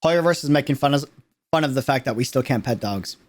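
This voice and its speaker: A man's voice: medium pitch, expressive, quick pace, noisy background. A man giving a casual livestream reaction in an emphatic, slangy way, repeating phrases to drive them home. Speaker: streamer reacting to a game character trailer.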